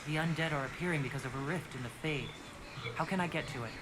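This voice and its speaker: An actress playing a calm, resolute female leader in a fantasy video game, speaking in a direct and measured way. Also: low-pitched, heavy background noise, close to an everyday voice. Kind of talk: video game cutscene acting. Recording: loud music behind the voice.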